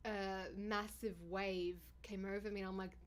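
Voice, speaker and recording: A woman with a deep, raspy voice, chatting casually with viewers on a livestream. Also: flat delivery, moderate pace. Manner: chatty, bubbly, thanks viewers often. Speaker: livestreamer and YouTuber.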